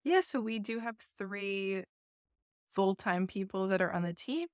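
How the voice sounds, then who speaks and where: A woman from New York, a festival founder, talking through an interview in a soft, diplomatic way and laughing often. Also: medium pitch, animated, measured pace, no echo, clean studio sound. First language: English. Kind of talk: casual interview chat.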